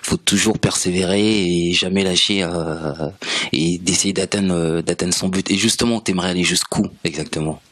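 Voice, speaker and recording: A male radio host interviewing an artist in the studio, halting and full of fillers as he asks his questions. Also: deep voice, fairly even intonation, fairly bright tone, no room sound. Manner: halting, many fillers and restarts.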